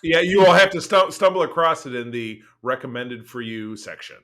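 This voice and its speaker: A man co-hosting a podcast, chatting casually and reacting in halting, repeated phrases. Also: medium pitch, expressive, a crowd in the background. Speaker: podcast co-host. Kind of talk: casual chat.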